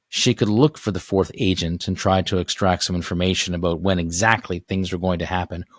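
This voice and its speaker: A man's voice: low-pitched, no room sound, studio quality. A man reading a children's adventure story aloud as its author, in scripted narration.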